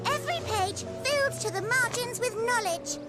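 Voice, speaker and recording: A woman voicing a small, excitable, chatty moon creature in a video game, chirpy and eager. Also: extremely high pitch, moderate pace. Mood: excited, chatty. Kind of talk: scripted video game acting. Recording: loud music behind.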